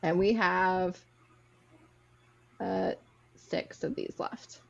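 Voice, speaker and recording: A woman's voice: fairly low pitch, drawn-out words, gravelly. A woman hosting a casual game among friends, chatting and telling stories informally over lo-fi online audio. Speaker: host of a word-guessing game among friends. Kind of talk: casual, animated chat and storytelling.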